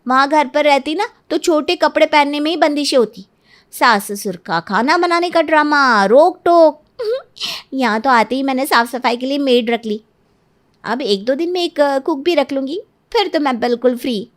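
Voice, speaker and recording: A woman's voice: sits high, normal speed, lightly acted. A woman playing a self-centred, cunning young newlywed wife in a Hindi cartoon story, pert and argumentative. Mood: breezy, pleased.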